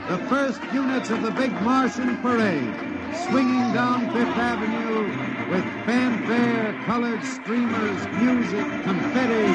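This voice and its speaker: An American actor playing an earnest male radio reporter giving live street commentary in a 1950s radio drama, addressing listeners formally. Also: high-pitched. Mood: excited. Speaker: announcer. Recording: noisy old 1950s radio recording.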